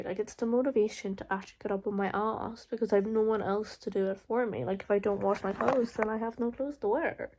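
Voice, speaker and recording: Young Irish woman, casual vlogger; middle pitch, slight vocal fry, chatty with lots of fillers. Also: a little mellow. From Ireland. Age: young adult. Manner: chatty, frequent "like" and "um" fillers, blunt swearing. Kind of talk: casual Q&A vlog.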